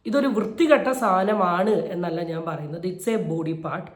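A woman's voice: lowish voice, lively intonation, a little slow. A woman giving indignant, emphatic video commentary, repeating phrases to drive her points home. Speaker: YouTube commentator. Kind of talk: opinion commentary on a viral video. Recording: roomy, echoey voice recording.

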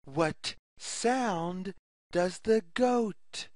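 A narrator reading a repetitive children's story aloud in a deliberate, word-by-word, sing-song way. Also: mid-range voice, lively intonation, darkish tone, no room sound, studio quality.

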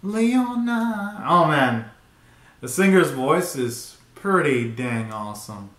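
A man in his twenties giving a casual, excitable live reaction commentary full of slangy exclamations, recorded in a small bedroom. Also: fairly high pitch, slow pace. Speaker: reaction video host. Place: small bedroom at home, indoors. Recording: roomy, echoey home recording.

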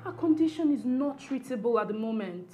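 Woman acting earnest doctor, high-pitched voice. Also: animated, a little fast, warm tone, slight background noise, close to an everyday voice. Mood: grave sympathy. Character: earnest female doctor, sympathetic and calming. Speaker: actor. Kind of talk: film drama acting.

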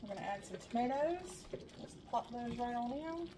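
A woman's voice: a little high, animated, moderate pace. A woman chatting casually as she cooks at her home stove, thinking aloud and poking fun at herself. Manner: chatty, self-deprecating, thinking aloud while cooking. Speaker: home cooking vlogger. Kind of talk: casual cook-along narration. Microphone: camera overhead, speaker partly off frame. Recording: noisy home kitchen recording.

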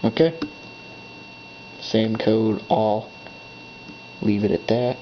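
Slow gravelly man muttering to himself. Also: on the low side. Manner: muttering to himself while pressing buttons. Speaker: hobbyist setting up a device. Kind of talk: off-the-cuff thinking aloud. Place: indoors at a desk. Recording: dull lo-fi camera audio with faint hum.

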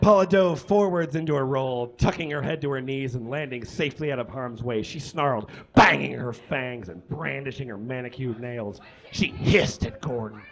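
A man's voice: medium pitch, moderate pace, hardly any pauses, low-quality recording. A young man reading a story aloud on a live stage in a theatrical, exaggerated comic style. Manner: dramatic, exaggerated delivery. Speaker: live comedy reader. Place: small indoor stage with curtains and brick.

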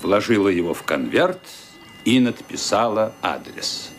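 A man narrating a radio drama in an expressive, suspenseful way. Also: fairly low pitch, a little slow, slight background noise. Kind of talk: scripted radio play narration. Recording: music under the narration.

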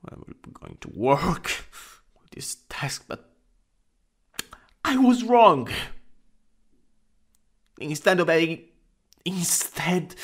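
A man acting an anxious, polite office worker in an ASMR boyfriend roleplay, halting and dramatic, with a breathy voice that often drops toward a whisper. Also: fairly high pitch, fairly bright tone, full character voice.

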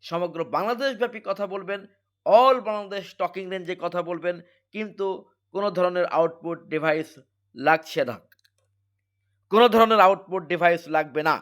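A man with a Bangladeshi accent giving an emphatic, repetitive sales pitch as he presents a product. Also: moderate pace, long pauses, a bit bright. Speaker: product seller presenting a device.

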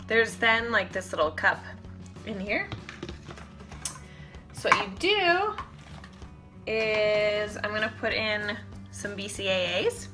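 A woman in her thirties with a bright, forward voice at a middling pitch. She chats informally to camera like a vlogger in her own kitchen, thinking aloud as she shows things. Soft music sits faintly behind her. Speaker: fitness vlogger. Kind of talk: casual chat to camera, product demonstration. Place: home kitchen, indoors.

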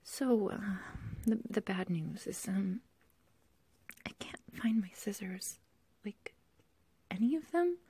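A woman's voice: medium pitch, lively intonation, slow, pauses often, warm tone, no room sound, heavily acted. A woman playing an obsessive, love-crazed young kidnapper in an ASMR roleplay, whispering close to the mic in a rambling, hesitant, sweetly menacing way. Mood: flustered rambling. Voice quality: breathy, whispery. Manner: rambling, hesitant, cooing, giggly. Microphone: close ASMR microphone, dry.

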